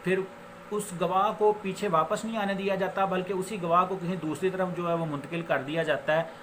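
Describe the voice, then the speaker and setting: High-pitched Pakistani man lecturing, noisy recording. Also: steady tone, brisk, a bit bright. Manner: didactic, repetitive filler phrases, mixes in English legal terms. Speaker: law lecturer, advocate. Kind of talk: informal explanatory law lecture. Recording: noticeable background noise.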